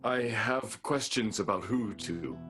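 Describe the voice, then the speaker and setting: A man's voice: lowish voice, slow. A man playing an earnest, lovesick, secretive character in an improvised radio soap, with guitar music behind him. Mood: lovesick, confiding.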